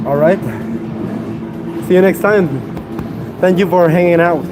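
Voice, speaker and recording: A young Quebec French man with a fairly high voice chats slowly and casually on a live stream. He grunts between sets at the gym while music plays clearly behind him. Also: steady tone, rough recording. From Quebec, Canada. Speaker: livestreamer. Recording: music playing in the background.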